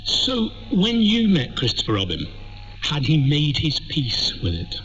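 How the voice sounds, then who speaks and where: British man, wry show presenter, animated delivery, dull lo-fi radio sound. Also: on the high side, a little slow, slight background noise. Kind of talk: light magazine-show interview with scripted introductions. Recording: muffled shortwave radio capture.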